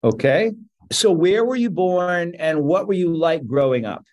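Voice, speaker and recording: A man's voice: high-pitched, expressive, measured pace, a little mellow. A man hosting a podcast, reading a scripted intro and then interviewing a guest. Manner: reads intro steadily, lists credentials. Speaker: podcast host.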